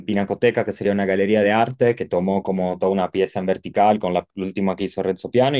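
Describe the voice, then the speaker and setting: A man's voice: deep voice, clear, resonant tone, no room sound. A man from Turin, Italy, whose Spanish has an Italian tinge, chatting casually as a guest with many fillers and self-corrections. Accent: Italian-tinged Rioplatense Spanish. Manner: relaxed, rambling, frequent fillers and self-corrections. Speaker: architect guest. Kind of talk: casual conversational interview.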